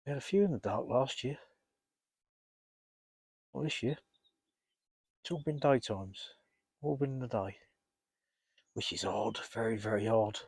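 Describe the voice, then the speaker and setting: A man with a cold, rambling casually to camera while fishing outdoors by a lake, often clearing his throat. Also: mid-range voice, a little slow, long pauses, darkish tone, no room sound, studio quality. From England. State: congested, blocked up with a cold. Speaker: carp fishing vlogger.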